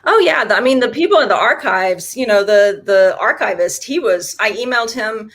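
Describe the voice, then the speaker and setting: A woman's voice: on the high side, expressive, normal speed, a bit bright. A woman whose first language is German, an author answering interview questions in a chatty way, with many fillers and restarts.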